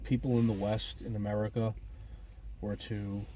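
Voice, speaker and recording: A man co-hosting a casual talk show from a car, loud and sarcastic, swearing freely. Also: lowish voice, level tone, normal speed, slight background noise. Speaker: podcast co-host. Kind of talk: casual banter.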